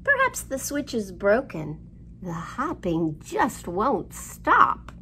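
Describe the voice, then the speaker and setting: Middle-aged woman, children's storytime reader, unhurried, expressive sing-song delivery, creaky edge, indoor room ambience. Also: medium pitch, slight background noise. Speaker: children's library storytime host. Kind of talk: storytime rhymes and picture book reading for young children.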